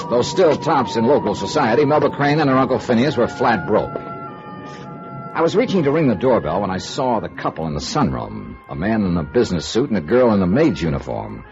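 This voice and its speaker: An American man playing a sardonic, unflappable insurance investigator in old-time radio noir, with wry, clipped delivery. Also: on the low side. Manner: wry, clipped, dry repartee. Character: sardonic, sharp-witted male insurance investigator, hard-boiled and unflappable. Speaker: radio actor, narrator and lead. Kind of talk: old-time radio noir acting with first-person narration. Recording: muffled vintage radio drama recording.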